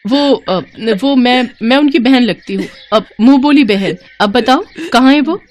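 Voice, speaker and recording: A woman's voice: high voice, a little slow, voice pushed up. A woman voicing a grieving, vengeful female snake shapeshifter in a storybook tale, dramatic and emotional. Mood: urgent questioning.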